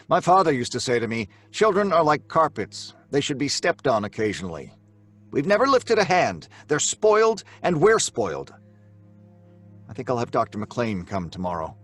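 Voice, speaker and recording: A worried dad, stern, voice goes up and down a lot, faint music under it. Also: male voice, mid-range voice, sing-song, normal speed, a bit bright. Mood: uneasy. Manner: uneasy, stern with his children. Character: worried middle-aged father. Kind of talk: live audio drama acting. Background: faint live music.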